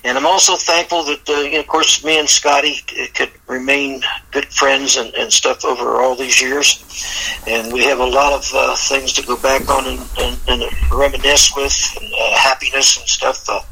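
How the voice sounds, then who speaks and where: An older man with a raspy, gravelly voice that sits slightly high and cuts through. He talks in a stammering, affectionate way, as a guest on a radio call-in. The sound comes over a phone line. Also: little pitch movement, moderate pace, sharp tone. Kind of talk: casual radio chat.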